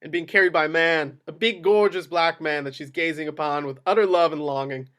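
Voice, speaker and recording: A man narrates a film script with theatrical emphasis. His voice is bright and slightly high, and he delivers the action lines like a dramatic storyteller. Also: steady tone, a little fast. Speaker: table read narrator.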